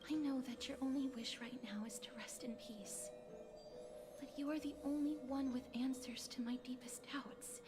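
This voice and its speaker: A woman playing a gentle, solemn young woman in a video game, speaking formally in a soft, breathy, tender voice. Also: sits high, steady tone, moderate pace, close to an everyday voice. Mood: solemn pleading. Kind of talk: scripted video game voice acting. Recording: game music over the voice.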